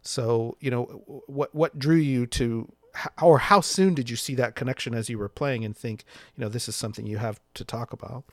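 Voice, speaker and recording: A man hosting an interview, asking long, measured questions filled with "you know". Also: medium pitch, no room sound. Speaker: interviewer host.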